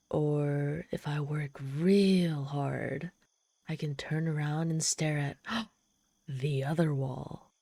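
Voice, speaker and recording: A woman's voice: sits low, mellow, no echo, exaggerated voice. A woman playing a proud, lonely, desperate and socially awkward demon in a dramatic audio roleplay, haughty yet pleading. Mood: bored, sardonic. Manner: haughty yet pleading, theatrical sighs and gasps. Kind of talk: dramatic ASMR roleplay storytelling.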